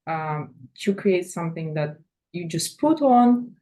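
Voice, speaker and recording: A middle-aged woman from Latvia giving casual interview answers, with hesitant, pausing phrasing. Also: lowish voice, big pitch swings, dark tone. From Latvia, former USSR. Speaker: fashion designer, interview guest.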